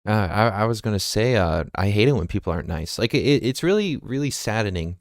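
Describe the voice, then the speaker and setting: American man, low dry voice. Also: expressive, fairly quick, dry recording. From United States. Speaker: podcast host. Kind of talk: casual interview questions.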